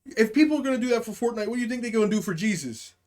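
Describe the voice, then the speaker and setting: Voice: mid-range voice, animated, rapid-fire, a little mellow. A live stream comedy host telling stories casually to chat, with slangy, profane language and acted-out quotes.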